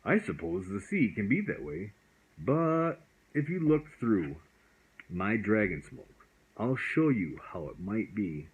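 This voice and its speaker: A man voicing a kindly, reassuring magic dragon in a bedtime story, gentle and coaxing. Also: lowish voice, sing-song, slow, husky, exaggerated voice, poor audio. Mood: comforting.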